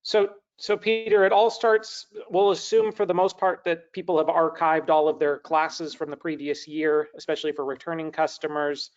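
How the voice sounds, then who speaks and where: A man's voice: on the high side, steady tone, normal speed, hardly any pauses. A man in his thirties hosting a webinar on a video call, upbeat and rambling with frequent restarts. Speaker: webinar host. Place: home room with brick wall, indoors. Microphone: video call, laptop mic. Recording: dull lo-fi video call audio.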